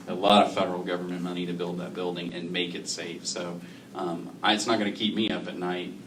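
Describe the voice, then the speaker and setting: A man on a community panel, talking loudly and conversationally, often hedging his points. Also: sits low. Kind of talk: panel discussion answer. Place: public library meeting room. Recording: far mic, noisy room.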